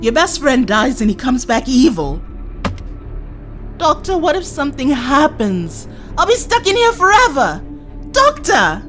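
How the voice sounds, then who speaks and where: An actress playing a feisty, sarcastic young travelling companion in an audio drama, cheeky and exclamatory. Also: female voice, high voice, sing-song, a little slow, fairly bright tone, soft background music, heavily acted. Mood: exasperated, alarmed. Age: young adult.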